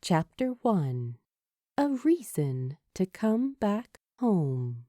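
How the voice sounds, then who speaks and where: Mellow, expressive woman narrator. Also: mid-range voice, no echo. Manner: expressive, deliberate reading. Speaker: fan fiction podfic narrator. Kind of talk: scripted storytelling narration.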